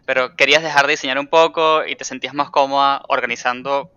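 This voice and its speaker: Bright, clear-voiced man, slightly lo-fi. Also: mid-range voice, fairly even intonation, fast talker, no room sound. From Latin America, outside Argentina. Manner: informal, acts out quoted remarks. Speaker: interviewer. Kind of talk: casual conversational interview. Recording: dry but slightly dull, band-limited sound.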